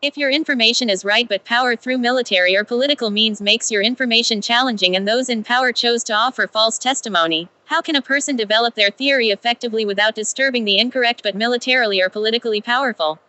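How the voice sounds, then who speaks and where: A woman's voice: a little high, fast, hardly any pauses, bright, forceful tone. A woman reading prepared text aloud in a scripted style.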